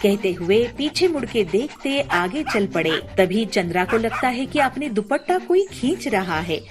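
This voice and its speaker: A woman's voice: on the high side, sing-song, moderate pace. A woman narrating a children's story as a storyteller. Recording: clear music behind the voice.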